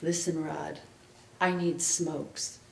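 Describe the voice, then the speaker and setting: A woman's voice: low-pitched, fairly even intonation, slow, gravelly, darkish tone, recorded from a distance, heavily acted. A woman reading aloud as a brash, flirty small-town street woman of twenty-nine, with a drawl. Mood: coaxing. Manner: drawling, coaxing, sneering. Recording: echoey room, public event recording.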